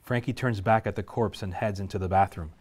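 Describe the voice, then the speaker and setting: A man reading the stage directions aloud at a script table reading, steady and measured. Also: fairly low pitch.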